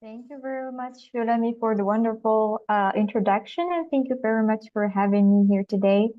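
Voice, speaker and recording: A woman's voice: fairly high pitch, darkish tone. A young woman from Morocco, a youth leader and trainer, gives an online workshop presentation with some small hesitations. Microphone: video call. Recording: slightly dull, lo-fi video call audio.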